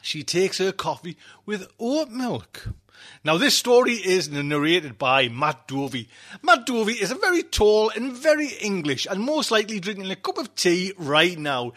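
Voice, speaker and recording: A man's voice: sits high, sing-song, unhurried, fairly bright tone. A man hosting a podcast casually, chatty and rambling, often repeating his phrases. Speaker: podcast host.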